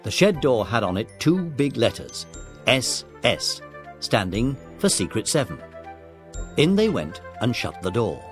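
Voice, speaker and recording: A male narrator tells a children's audiobook story in a lively, varied voice of medium pitch. Music plays behind him as he reads from the script. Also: unhurried.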